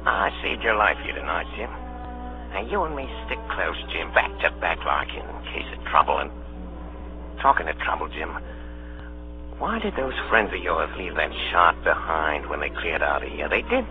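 An actor playing a sly older pirate ringleader who wheedles and bullies in salty sailor talk, in old radio drama style. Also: male voice, on the low side. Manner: wheedling then bullying, salty sailor idiom. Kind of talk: 1930s radio drama acting. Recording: dull old radio broadcast, music, hum.

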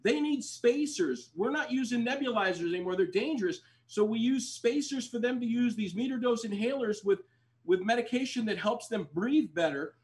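A man's voice: very high-pitched, lively intonation, moderate pace. An older man, a doctor whose first language is Spanish, making an urgent fundraising appeal over a video call and repeating his pleas. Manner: urgent, pleading, repeats phrases. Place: indoors, plain wall, home video call. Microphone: webcam on video call.

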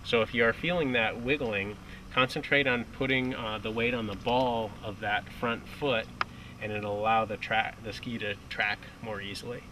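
A man's voice: on the low side, steady tone, normal speed. A man coaching on a lakeside dock, talking casually and often restarting his sentences. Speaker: water ski coach. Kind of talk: outdoor instructional chat. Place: outdoors on a dock by a lake. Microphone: distant camera mic. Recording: distant outdoor mic with light noise.

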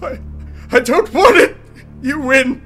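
A man's voice: extremely high pitch, lively intonation, slow, sharp tone, soft background music, heavily acted. A man playing a cowardly villainous doctor who stammers and pleads in a pulp radio serial parody. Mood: tearful fear.

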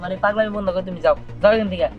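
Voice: mid-range voice, steady tone, rapid-fire, narrow, tinny audio, over music, lightly acted. An actor playing a protective, defiant young man in love in a cartoon drama. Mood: annoyed.

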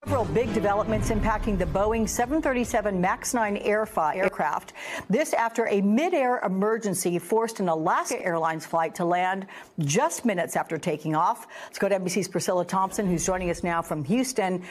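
Woman news anchor, crisp delivery. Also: medium pitch, a little fast.

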